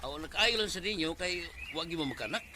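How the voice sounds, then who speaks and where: A casual, teasing buddy played by a male actor with a somewhat high voice for a man. The radio drama dialogue has clear background noise. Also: lively intonation, fairly quick, natural voice.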